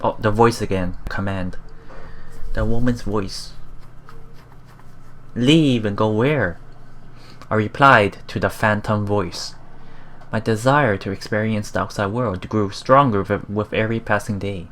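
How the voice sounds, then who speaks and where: A let's play host reading a visual novel aloud in a halting way, with casual, musing commentary. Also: medium pitch, fairly bright tone, slight background noise. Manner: halting, musing, repeats phrases.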